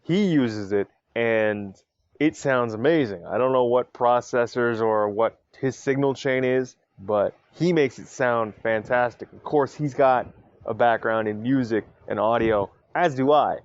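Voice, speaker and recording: A man talks casually as the host of his own podcast, opinionated and full of asides. His voice sits at a middling pitch. The sound is dry and a little dull. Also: unhurried, no room sound. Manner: chatty, opinionated, many asides. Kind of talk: casual solo podcast talk.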